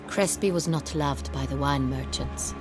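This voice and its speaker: A low female voice plays an imperious game duchess, regal and formal. She speaks as a ruler used to obedience, haughty and easily indignant. Faint music plays underneath. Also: unhurried. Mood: stern. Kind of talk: video game character acting.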